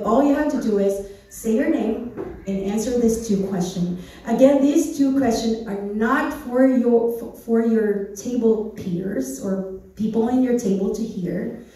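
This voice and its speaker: A woman's voice: fairly high pitch, warm tone. A woman, giving a chatty church message on a small stage and asking the audience questions. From Philippines. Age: 30s. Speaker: church speaker. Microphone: handheld mic, recorded from far back. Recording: echoey hall, distant phone camera.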